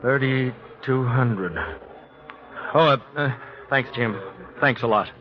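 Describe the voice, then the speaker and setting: An American actor playing an anxious, apologetic middle-aged working man in 1940s radio drama, halting and polite. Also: mid-range voice, lightly acted. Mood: anxious, hesitant. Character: anxious, hesitant working man desperate for money. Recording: dull, noisy vintage radio recording.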